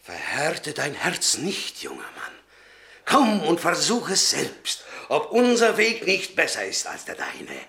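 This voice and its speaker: Slow, fervent, bright-voiced zealot. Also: male voice, fairly high pitch, wildly swooping pitch, gravelly, full character voice. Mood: zealous, menacing fervour. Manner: fervent, solemn, preacherly, deliberate. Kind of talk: classic German radio drama acting.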